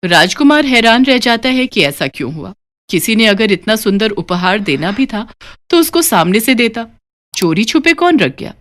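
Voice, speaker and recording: A woman narrating a scripted animated moral story in an expressive storytelling manner. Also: fairly high pitch, normal speed.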